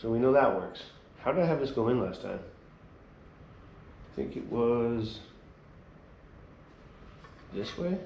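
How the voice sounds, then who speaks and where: A man casually narrating his repair work in a workshop, half muttering to himself as he works, a little hoarse. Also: medium pitch, slow pace, long pauses, roomy sound, a little hiss or hum. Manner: relaxed, mutters quietly while working. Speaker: vlogger working on a small motor vehicle.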